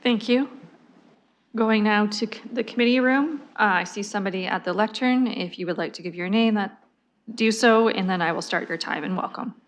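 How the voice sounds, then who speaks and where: Procedural Canadian woman chairing a meeting. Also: medium pitch. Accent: Canadian English. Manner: brisk, procedural, halting. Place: council chamber.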